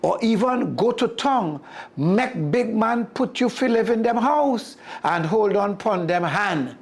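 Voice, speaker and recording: Storyteller playing a sly male spider trickster: Jamaican patois, raised pitch, coaxing and boastful, storybook style. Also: lively intonation, slow.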